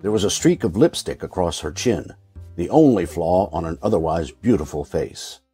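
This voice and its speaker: A man's voice: low voice, animated, moderate pace, warm tone, breathy edge, soft background music, heavily acted. A man playing a wry, world-weary private eye, narrating dryly in an old-time radio noir style. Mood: dry, sardonic. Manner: dry, sardonic. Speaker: lead actor and narrator.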